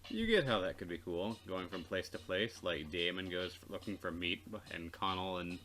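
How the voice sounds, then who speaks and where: Low-voiced man with lively pitch swings. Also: normal speed. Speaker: let's player. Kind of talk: casual game narration and banter. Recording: game music behind the voice. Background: game music.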